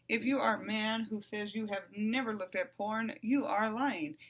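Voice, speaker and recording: A middle-aged woman hosting a solo podcast, mostly calm and even, now and then turning blunt and profane. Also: medium pitch, normal speed, muffled recording. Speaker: podcast host.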